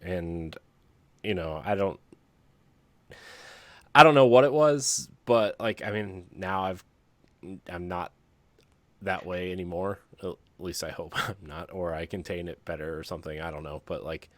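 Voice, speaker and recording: A male podcast co-host with a low voice shares personal stories in a casual way. He speaks haltingly, in short broken phrases, and the sound is close and dry. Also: a bit bright, dry recording.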